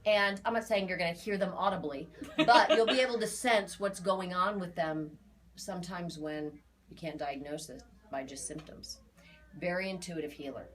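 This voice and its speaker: A woman in her forties with a slightly low voice. She talks informally and emphatically to clients as an aura reader, chatting along and repeating key phrases to drive them home. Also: normal speed. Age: 40s. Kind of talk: informal spoken reading to clients.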